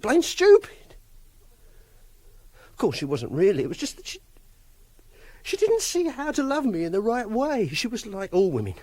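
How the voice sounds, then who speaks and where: An actor playing a repressed, socially awkward young captor in a radio drama, halting and defensive. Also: male voice, high voice, no echo, heavily acted. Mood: bitter. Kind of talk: radio drama dialogue and confessional narration.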